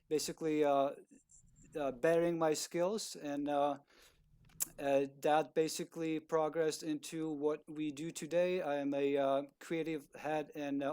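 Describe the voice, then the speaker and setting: Czech-accented man, smooth clear voice. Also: on the high side, a little mellow. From Czech Republic. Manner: polite, halting, many fillers and restarts. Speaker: guest speaker, video producer. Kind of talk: casual talk on a video call.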